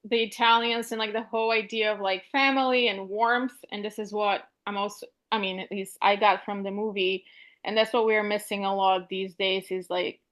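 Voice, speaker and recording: A woman's voice: medium pitch, fairly even intonation, moderate pace, fairly bright tone. A woman from Bulgaria interviewing a filmmaker in a friendly, chatty way with many "like"s. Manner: friendly, lots of "like" and "you know". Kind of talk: casual interview talk.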